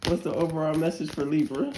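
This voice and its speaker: A woman in her forties with a deep voice giving a casual tarot reading and prayer, chatty and candid, cursing freely. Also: steady tone, fast, noisy background. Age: 40s. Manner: chatty, candid, curses freely and laughs often. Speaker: tarot reader. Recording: roomy echo.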